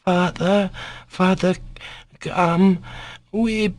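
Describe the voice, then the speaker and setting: A man voicing a gravely ill, tender young boy in a storybook, plaintive and faltering as he pleads. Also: fairly high pitch, steady tone, a little slow, a little hiss or hum, pitched above the performer's own voice. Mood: anguished.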